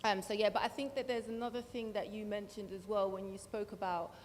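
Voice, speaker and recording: A woman's voice: medium pitch, steady tone, a little fast. A woman panelist of Tanzanian origin who grew up in the Netherlands, speaking with hesitant fillers but growing passionate. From Tanzania, raised in the Netherlands. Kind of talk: panel discussion. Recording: long hall reverb, faint noise.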